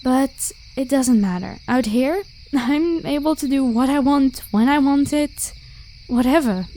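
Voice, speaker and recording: A woman voicing a carefree, protective vampire warrior of high rank in a casual, reassuring roleplay style. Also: measured pace, warm tone. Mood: relaxed, nostalgic. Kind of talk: ASMR roleplay acting. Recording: clear background hiss with night crickets. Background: crickets and insect ambience.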